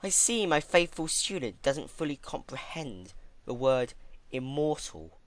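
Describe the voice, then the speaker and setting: A man voicing a regal, immortal female pony ruler in a story reading, measured, formal and condescending. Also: medium pitch, big pitch swings, dry recording, voice pushed up. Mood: haughty, commanding.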